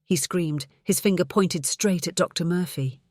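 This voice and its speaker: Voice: medium pitch, moderate pace, darkish tone, dry recording, studio quality. An audiobook narrator reading a scripted story.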